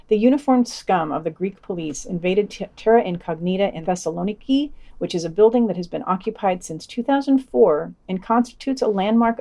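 A woman's voice: mid-range voice, warm tone. Woman reading scripted news summaries. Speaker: podcast news reader.

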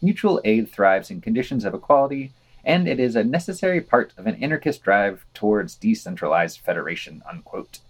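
A man's voice: fairly low pitch, very animated, brisk, rough recording. A man co-hosting a podcast, reading scripted news items and quotations with dry, wry asides.

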